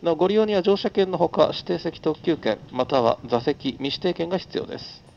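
Creaky, higher-pitched male train conductor. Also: lively intonation, brisk, a little mellow, dull audio, a little hiss or hum. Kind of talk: live train announcement.

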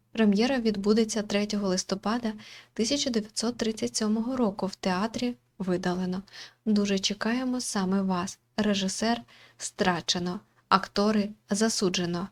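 A woman hosting a game playthrough with casual, chatty commentary, reading screen text aloud. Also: mid-range voice.

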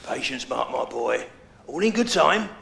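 An actor playing an older London crime boss in a video game, gravelly, taunting, deliberate and theatrical. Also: male voice, mid-range voice, big pitch swings, fairly bright tone, a little hiss or hum, heavily acted. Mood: mocking. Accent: London English. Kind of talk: video game cutscene acting. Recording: echoey room with music underneath.

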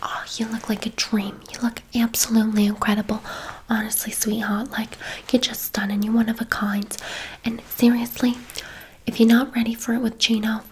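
A woman's voice: a little high, level tone, normal speed, a little hiss or hum, natural voice, low-quality recording. A woman playing a gushing, affectionate, gossipy hairdresser in an ASMR roleplay, chatty and full of endearments. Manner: effusive, chatty, uses endearments.

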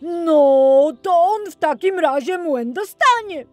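A woman voicing a blunt, excitable old male miller in a children's fairy tale. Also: extremely high pitch, slow, no room sound, faint music behind, voice pushed up, exaggerated voice. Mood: exclaiming.

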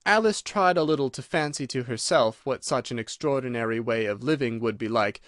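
A man's voice: mid-range voice, fairly quick, dry recording, studio quality. A man reading a scripted story as an audiobook narrator.

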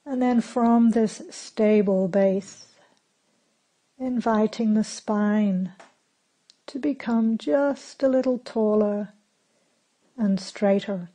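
A woman leads a guided meditation in a dark, relaxed voice. She speaks very slowly and calmly, giving gentle instructions and leaving long pauses between short phrases. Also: medium pitch, poor audio. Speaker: meditation teacher.

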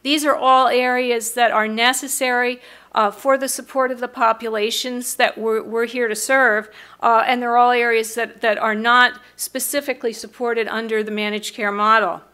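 Bright-voiced middle-aged American woman presenting. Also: on the high side, flat delivery, moderate pace, smooth. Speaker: mental health board member presenting to city council. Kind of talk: prepared public presentation. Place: large council meeting room, indoors. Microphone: podium microphone.

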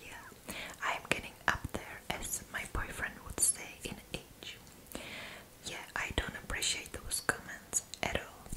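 A woman in her twenties speaking in a breathy, gentle whisper, soothing the listener in an ASMR roleplay and softly repeating words. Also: rough recording. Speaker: ASMR creator in a roommate roleplay. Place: small indoor room.

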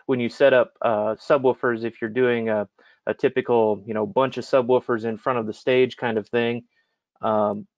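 A man hosting a casual chat and putting audience questions, speaking in a hesitant, halting way. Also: mid-range voice, dry recording, lo-fi sound. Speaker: host.

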